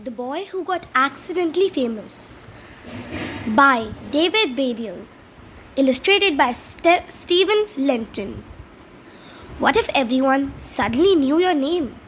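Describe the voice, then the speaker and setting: A woman reading a children's storybook aloud as a channel host, with a halting delivery that stumbles over words now and then. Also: sits high, expressive, a little hiss or hum.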